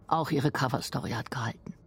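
Gravelly, composed woman officer. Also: a bass voice, a little fast, exaggerated voice. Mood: doubting, self-questioning. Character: composed, self-questioning female wartime intelligence officer. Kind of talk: radio drama acting.

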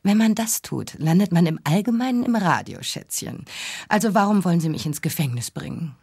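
Combative female radio host: low husky voice, mocking and condescending delivery, radio drama. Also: lively intonation, fairly quick, a little mellow, dry recording, studio quality, exaggerated voice. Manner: sarcastic, condescending, self-assured. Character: sharp-tongued, combative female radio talk show host. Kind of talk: German radio drama acting.

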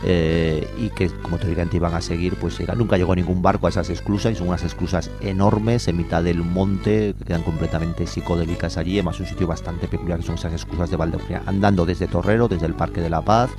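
A man's voice: deep voice, fairly even intonation, fast talker, few pauses, music in the background. A man chatting informally and enthusiastically as an environmental educator on a radio show, piling up descriptive words. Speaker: environmental educator, guest contributor. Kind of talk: informal radio chat recommending walks.

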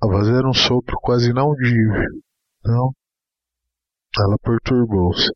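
A man's voice: mid-range voice, moderate pace, no echo. Male story narrator, slightly muffled lo-fi. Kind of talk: scripted dramatic story narration. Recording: dull lo-fi audio with music underneath.

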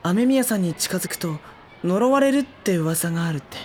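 A woman's voice: lowish voice, animated, moderate pace, darkish tone, a little hiss or hum, lightly acted. A woman voicing a mild, put-upon teenage schoolboy who narrates and answers politely, with dry, slightly exasperated remarks. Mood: wry. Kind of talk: studio audio drama.

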